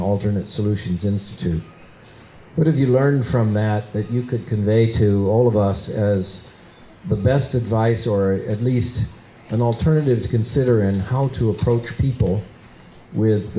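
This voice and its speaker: A man in the audience asks a long, measured question at a conference. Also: lowish voice, mellow. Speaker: audience member asking a question. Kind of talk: formal question from the floor. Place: conference hall. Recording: echoey hall with faint room noise.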